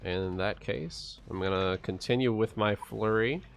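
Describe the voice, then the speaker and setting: A man's voice: on the low side, measured pace, creaky. A man casually playing a tabletop roleplaying game, working out his rolls and numbers aloud.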